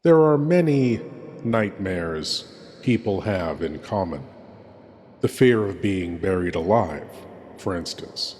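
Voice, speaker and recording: Man playing a slow, echoey sinister horror host. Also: deep voice, animated, darkish tone. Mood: ominous, hushed. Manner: slow, dramatic, pausing between phrases. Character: suave sinister male horror host, menacing and intimate. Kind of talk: theatrical old-time horror host monologue. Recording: echoey long reverb, background hiss.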